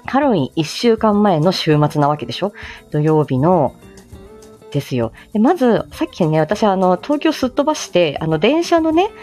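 A woman with a low, soft-edged mellow voice hosts a casual livestream. She talks politely and chattily, with a giggly streak, over faint background music. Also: very animated, normal speed. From Japan, outside Tokyo. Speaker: livestream host, amateur voice drama performer. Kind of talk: casual morning livestream chat.

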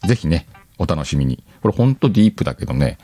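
Male host, very low register with animated intonation, informal self-mocking banter while reading letters over a clear music bed. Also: fast. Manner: laughs often, talks to himself, self-deprecating banter. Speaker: host of an audio show. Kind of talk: casual chatty solo radio talk, reading listener letters.

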